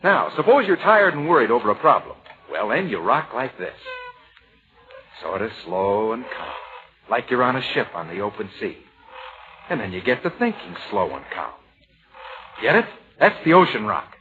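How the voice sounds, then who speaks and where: A man's voice: mid-range voice, big pitch swings, moderate pace, natural voice. An actor playing an easygoing, earnest young American man, chatty and folksy, in old radio comedy style. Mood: relaxed, explaining. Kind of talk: 1940s radio comedy acting. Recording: muffled, noisy old radio broadcast.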